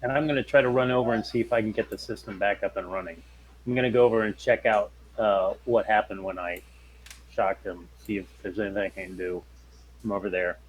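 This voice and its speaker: Tabletop player, male, husky and slightly deep, casual action calls. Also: steady tone, brisk, low-quality recording. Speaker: player in a tabletop role-playing game. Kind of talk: casual calling of game actions.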